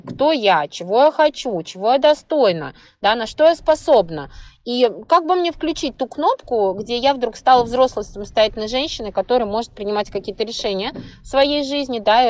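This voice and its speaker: A woman hosting a podcast, talking conversationally and expressively in a monologue. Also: medium pitch. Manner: expressive, often acts out imagined inner voices. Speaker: podcast host.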